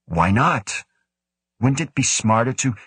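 A man voicing an earnest young male scientist who argues quickly and defensively. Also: mid-range voice, big pitch swings, a bit bright, slightly rough, dry recording, pitched above the performer's own voice, full character voice.

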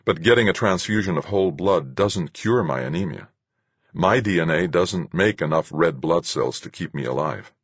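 A man's voice: deep voice, lively intonation, moderate pace, a bit bright, husky, no echo, exaggerated voice. A man playing a suspicious, bitter man in an audiobook, blunt and accusing in the way he talks. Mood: worried. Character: sick, suspicious, bitter man, story's hero.

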